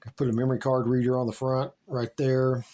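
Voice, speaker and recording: Male hobbyist vlogger from the US, mid-pitched, folksy and unscripted, rambles a little. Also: level tone, fairly quick, mellow. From United States. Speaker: shortwave radio hobbyist giving a tour. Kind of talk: casual unscripted vlog narration.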